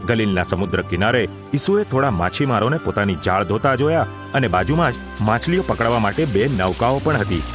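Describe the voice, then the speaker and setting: A man's voice: lowish voice, lively intonation, fairly quick. A man narrating a scripted audio drama in a formal, literary storytelling manner. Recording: music under the voice.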